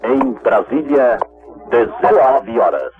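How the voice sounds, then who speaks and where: A man's voice: medium pitch, sing-song, takes it slowly, phone-quality sound. A Brazilian man announcing a radio programme in a formal ident style. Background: music.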